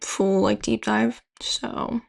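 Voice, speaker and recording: Young woman, creaky voice, casual commentary. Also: medium pitch, level tone, slow pace. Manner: informal, slangy. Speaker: YouTube horror video host. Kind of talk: casual video commentary and recap.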